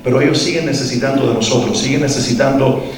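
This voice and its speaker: A man's voice: mid-range voice, steady tone, fast, slight background noise. A man from Cuba with a Cuban Spanish accent, giving an earnest, reflective talk to parents and asking them questions. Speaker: parenting workshop speaker. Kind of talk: talk to a live parent audience. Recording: echoey hall, mic at a distance.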